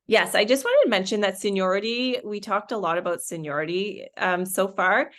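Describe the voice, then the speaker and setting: A woman moderating an online student panel, upbeat and explaining things clearly. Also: fairly low pitch.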